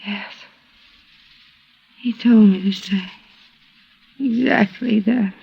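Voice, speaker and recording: An actress playing a drugged, confused, frightened captive wife in a 1950s radio drama, speaking weakly and haltingly. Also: female voice, mid-range voice, vocal fry, husky, full character voice. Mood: dazed, weak. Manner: weak, halting, dazed. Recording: muffled vintage radio recording.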